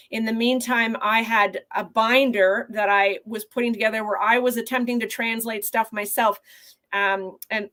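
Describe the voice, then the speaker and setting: A woman in her forties, a physician guest on a video call, explaining her work in an earnest, measured way from a small office. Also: on the high side, fairly bright tone. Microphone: video call webcam.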